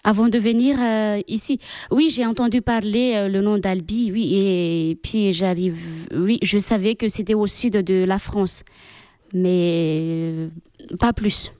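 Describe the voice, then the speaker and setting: A religious sister from Madagascar, a Malagasy speaker, answering interview questions in French with many pauses and fillers. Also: female voice, mid-range voice, fairly even intonation, a little mellow, no room sound, clean studio sound. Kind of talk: radio interview.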